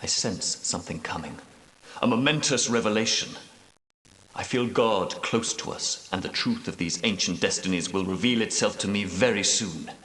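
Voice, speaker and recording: Man playing a grave, echoing, low-voiced knight elder. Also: fairly bright tone, natural voice. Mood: brooding. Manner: grave, portentous, measured, preaching. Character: solemn, pious leader of ancient Grail knights. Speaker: knight leader and guide. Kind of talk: scripted video game dialogue, archaic formal speech. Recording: echoey hall with long reverb.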